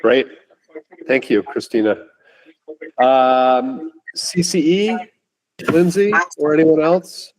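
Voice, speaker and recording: A man's voice: on the high side, fairly even intonation, darkish tone, low-quality recording. A man chairing a meeting, giving brief thanks and calling on speakers. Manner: brief, slow acknowledgements.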